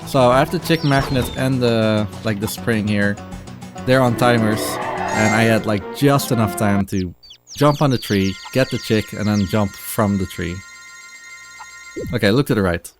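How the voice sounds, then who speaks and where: A man's voice: lowish voice, a little slow. A man giving casual, rambling live commentary as he speedruns a game, with a self-deprecating manner. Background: game music.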